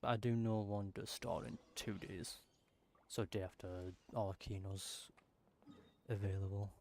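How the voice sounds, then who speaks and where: A man's voice: low-pitched, steady tone, measured pace, dark tone, a little hiss or hum. A man streaming a game, rambling in casual commentary with muttered asides.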